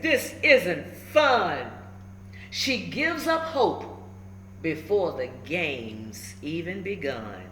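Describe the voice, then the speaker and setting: Woman, children's storybook read-aloud, lively varied pitch, expressive, echoey room. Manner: expressive, pausing to check in with listeners. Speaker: storybook reader. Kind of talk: animated children's read-aloud with asides to listeners. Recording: roomy echo with faint background noise.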